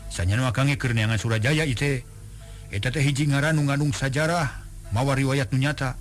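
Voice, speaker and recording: A man voicing a friendly, talkative young village man in a told folk tale, speaking in an eager, lively way. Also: mid-range voice, brisk, faint music behind, close to an everyday voice. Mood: easygoing.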